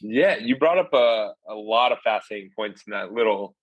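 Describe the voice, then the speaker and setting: A man with a lowish voice hosts a podcast and chats in an upbeat, casual way. He is recorded close and dry, with no room sound. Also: expressive, moderate pace, no room sound, highs cut off. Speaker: podcast host. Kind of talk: podcast interview.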